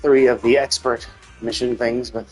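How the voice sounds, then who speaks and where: A man's voice: mid-range voice, little pitch movement, moderate pace, a little mellow. A man thinking aloud in a rambling, casual way as he streams a video game. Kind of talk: casual livestream gameplay chat. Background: music.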